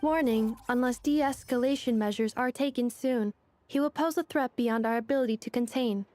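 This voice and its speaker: A woman's voice: on the high side, moderate pace, a little mellow, lightly acted. A woman voicing a logical robot analyst in an anime game, formal, clinical and precise. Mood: clinical, warning.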